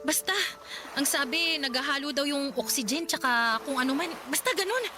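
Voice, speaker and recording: A very high-voiced young mother, played by a radio drama actress, upset and sharp-tongued. She speaks bluntly and with exasperation, with music playing clearly behind her. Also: normal speed, natural voice. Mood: distraught. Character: distraught, snappish young wife and mother. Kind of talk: Tagalog radio drama acting.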